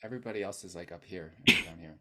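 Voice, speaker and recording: A man's voice: deep voice, racing, bright, forceful tone. A man in casual chat on a video call, joking and self-deprecating. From United States. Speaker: improv cast member. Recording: noisy video call with clicks.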